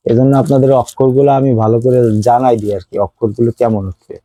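A man's voice: medium pitch, fairly even intonation, quick pace, mellow, dry recording. A man with a Bangladeshi accent giving a casual phone unboxing review as a seller, explaining things and repeating his points.

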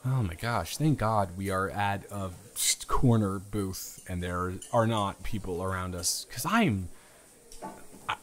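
A young man playing an earnest, flustered romantic lead in an improvised audio roleplay, speaking in a halting, emphatic, stammering way. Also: low voice. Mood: pleading, exasperated.

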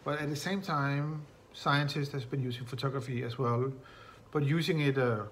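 A middle-aged man presenting his photo project on camera from a bright room at home, casual and halting, with many fillers and slightly non-native phrasing. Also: mid-range voice, steady tone, slight background noise. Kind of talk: recorded talk to camera.

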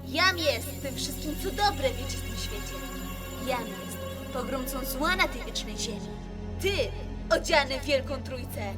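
A woman's voice: sits high, expressive, a little slow, over music. A woman playing a solemn, fierce young female mage declaiming an incantation in an anime fan dub. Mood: solemn, commanding.

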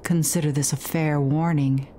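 A woman voicing a cryptic ghostly spirit in a horror game, speaking in a measured, formal, portentous way. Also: deep voice, steady tone, warm tone, slight background noise, close to an everyday voice. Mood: grave warning. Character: solemn, cryptic ghostly spirit warning of danger. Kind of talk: reading horror game dialogue aloud.

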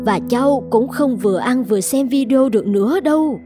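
A woman's voice: fairly high pitch, lively intonation, fairly quick, dark tone, soft background music, close to an everyday voice. A woman playing a doting, humble elderly housekeeper in a cartoon, with a coaxing manner. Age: older. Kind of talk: scripted cartoon dialogue.

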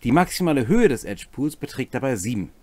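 A middle-aged man explains game rules as a host, his pitch moving around in a lively way. He mixes structured explanation with casual asides. The sound is dry and close, with little room in it. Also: quick pace, no echo. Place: small cluttered home room, indoors.

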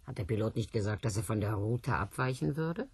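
Husky, volatile older rich widow. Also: female voice, extremely low pitch, expressive, a little fast, darkish tone, no room sound, heavily acted. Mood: wry, weary. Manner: theatrical, worldly, swinging from murmurs to outbursts. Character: rich, hard-drinking older widow of millionaires, sardonic and restless. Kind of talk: radio play acting.

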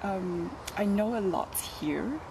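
A young woman from Taiwan, raised in New Zealand, answering interview questions hesitantly, with many pauses, on a handheld mic outdoors. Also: medium pitch, expressive, creaky, background noise. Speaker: student guest. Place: outdoors in a garden by a stone building.

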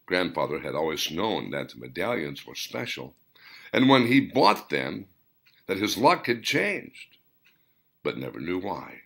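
A man reading his own novel as a dramatic audiobook, in deliberate, theatrical short phrases. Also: deep voice, wildly swooping pitch, vocal fry, a bit bright, breathy edge.

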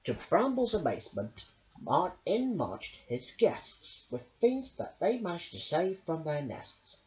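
A man narrating a children's picture book as storyteller, with a theatrical, drawn-out delivery. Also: on the high side, huge pitch swings, a little mellow. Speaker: storyteller, channel host. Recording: dull lo-fi home recording.

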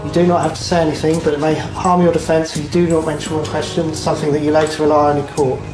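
A man's voice: fairly high pitch, flat delivery, fast talker. A man with a British accent speaking in an official, procedural way as a customs officer. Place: indoor airport rooms. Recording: echoey room with music added.

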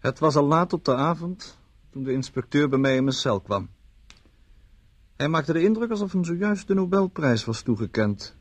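An actor playing a wry, urbane jailed gambler in a radio play, dry and articulate. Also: male voice, a little high, expressive, moderate pace, close to an everyday voice. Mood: ironic narration. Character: wry, urbane male gambler held in jail. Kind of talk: Dutch radio play acting and narration.

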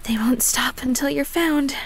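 A woman's voice: high voice, unhurried, slight background noise. A woman playing a haughty, possessive yandere ex-girlfriend in an audio roleplay, taunting and dismissive. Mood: scornful. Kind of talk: dramatic audio roleplay acting.

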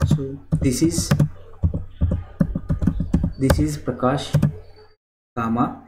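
A young man teaching English grammar in a slow screen-share lesson. He repeats himself a lot and keeps addressing the viewers as "friends.". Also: mid-range voice. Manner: slow and repetitive, often saying "friends" to the viewers. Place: small indoor room. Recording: echoey room with clear background noise. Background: keyboard clicks and typing.

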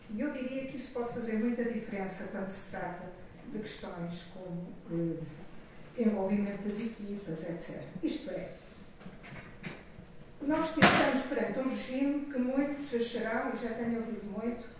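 An older woman with a European Portuguese accent gives a semi-improvised conference opening speech from an auditorium stage, halting and often correcting herself as she digresses. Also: medium pitch. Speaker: organisation president giving an opening address. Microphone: podium microphone, recorded from the audience. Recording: echoey hall from far off, noisy.

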